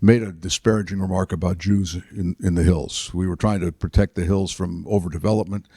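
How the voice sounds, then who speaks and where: A man in his seventies, a retired politician and author, tells stories about his life to an audience in a chapel, halting with fillers and restarts. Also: deep voice, steady tone, mellow, breathy edge, no echo, clean studio sound. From United States. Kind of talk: relaxed storytelling talk.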